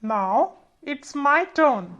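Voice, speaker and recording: A woman voicing a calm, warm, gently teasing male sun in a children's storybook, unhurried in manner. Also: sits high, dull audio, full character voice. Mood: confident.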